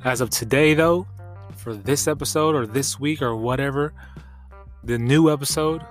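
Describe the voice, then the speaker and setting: A man's voice: medium pitch, normal speed, faint music behind. A congested man hosting a podcast in casual, slangy, conversational talk. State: congested from allergies. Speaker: podcast host.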